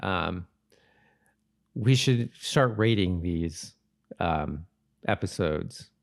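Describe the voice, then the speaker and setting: A man co-hosting a podcast in casual chat, speaking haltingly and often repeating and rephrasing himself. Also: deep voice, animated, vocal fry. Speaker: podcast co-host. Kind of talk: casual chat about a TV episode.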